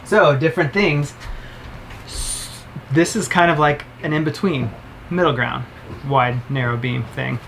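A man's voice: on the high side, steady tone, unhurried, vocal fry, pauses often, fairly bright tone, a little hiss or hum. A man in his thirties casually reviewing camera gear to the camera, chatty and upbeat, explaining as he handles each item in a boat cabin. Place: cabin of a sailboat, indoors.

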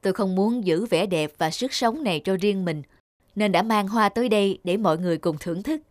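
A woman playing a gentle, devoted middle-aged florist in an animated drama, speaking softly and reflectively. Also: medium pitch, fairly quick, no echo, studio quality, lightly acted. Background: background music.

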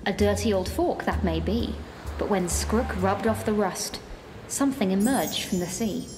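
A woman's voice: medium pitch, normal speed. Echoey woman narrating a theatrical tale. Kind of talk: theatrical rhyming story narration. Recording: echoey, long reverb, background ambience noise.

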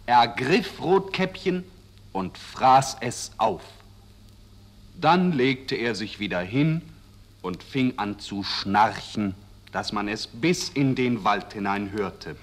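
A man narrating a fairy tale for children in a measured, expressive way. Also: fairly low pitch.

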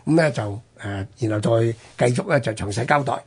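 A man's voice: mid-range voice, lively intonation, fairly quick, slightly rough. A man with a Hong Kong Cantonese accent telling history conversationally as a radio host, explaining with rhetorical questions and interjections.

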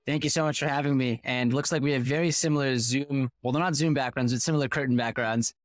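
A man in his thirties talks through his story with an articulate, animated flow. His voice sits at an average pitch and is picked up close on a headset mic. The small curtained room sounds completely dry. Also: fast talker, no room sound. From United States. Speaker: startup founder, guest. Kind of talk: podcast interview over video call.

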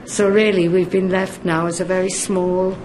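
A woman in her seventies giving an unscripted, measured and halting interview. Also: fairly low pitch, little pitch movement, darkish tone, faint music behind, poor audio. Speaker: interviewee, local community member.

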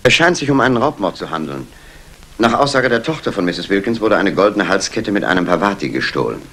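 An actor playing a polite, probing police inspector in a 1960s German radio crime play, formal and questioning. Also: male voice, fairly low pitch, very animated, brisk, pushed and bright, full character voice. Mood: businesslike. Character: courteous, probing male Scotland Yard police inspector. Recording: noisy old radio play recording.

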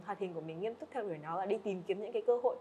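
A woman's voice: mid-range voice, rapid-fire, warm tone, highs cut off, a little hiss or hum. A woman hosting a podcast interview, asking questions in a friendly way. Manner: friendly, frequent listening "ừ" and laughs. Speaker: podcast host. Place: indoor studio set.

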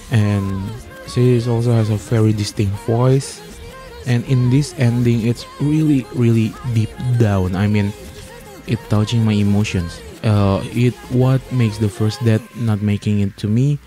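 Man with a very muffled, dark voice. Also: fairly low pitch. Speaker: host of an anime music ranking channel. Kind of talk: countdown review voice-over. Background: music playing under the voice.

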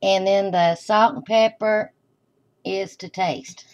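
A woman's voice: medium pitch, fairly even intonation, slow pace. Woman doing a folksy cooking demo in her kitchen, kinda lo-fi sound w some faint noise behind. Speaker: home cooking show host. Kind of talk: step-by-step cooking demonstration. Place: indoor home kitchen at the stove. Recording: dull lo-fi camera audio, kitchen noise.

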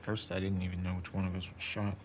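A weary, bitter young soldier. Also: male voice, level tone, quick pace. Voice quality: low, quiet, often near a whisper. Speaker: game character. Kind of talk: video game cutscene acting. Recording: game audio with music score.

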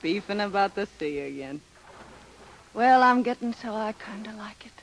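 A woman's voice: medium pitch, animated, normal speed, creaky. An actress playing a tough, world-weary young woman with blunt slang in a 1930s radio drama. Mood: wry. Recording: noisy old 1930s radio recording.

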